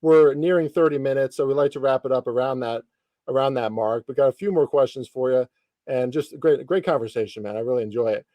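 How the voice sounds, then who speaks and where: A man's voice: medium pitch, a little fast, warm tone, no room sound. A man in his thirties hosting a podcast, chatting casually about sports in informal, slangy language with some light swearing. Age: 30s. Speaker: podcast host interviewing a guest.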